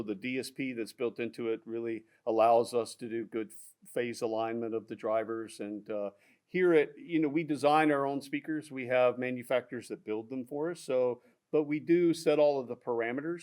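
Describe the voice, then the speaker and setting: Man explaining speaker specs with halting fillers. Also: mid-range voice, steady tone, a little mellow, clean tone. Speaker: audio product specialist. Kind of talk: technical product discussion.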